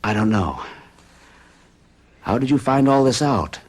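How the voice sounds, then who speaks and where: A man's voice: mid-range voice, big pitch swings, a little slow, a little mellow. An actor playing an anxious, troubled man haunted by strange dreams, speaking insistently in a radio drama. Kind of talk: 1970s radio drama acting.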